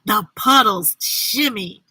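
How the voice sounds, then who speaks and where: A woman reading picture books aloud to children in an exuberant, playful way, with drawn-out words, sound effects and chatty asides. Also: medium pitch, sharp tone, breathy edge, no room sound, poor audio. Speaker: children's storybook reader and host.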